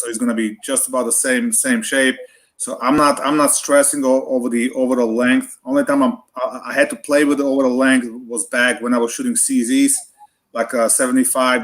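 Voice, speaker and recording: A man in his forties chatting casually on a live stream, talkative and rambling, with restarts and the odd curse. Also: medium pitch. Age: 40s. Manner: talkative, rambling, with frequent restarts and mild cursing. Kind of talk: casual chat.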